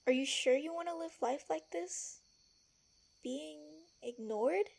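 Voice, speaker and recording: A woman's voice: sits high, takes it slowly, gravelly, a little mellow, exaggerated voice. A woman acting a feisty, protective palace servant in an audio drama, blunt and emphatic. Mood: reassuring, frank.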